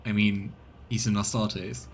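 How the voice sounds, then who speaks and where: A man's voice: on the low side, level tone, a little slow. A man doing a casual game stream, reading narration aloud and musing between lines. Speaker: let's play streamer. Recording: noticeable background noise.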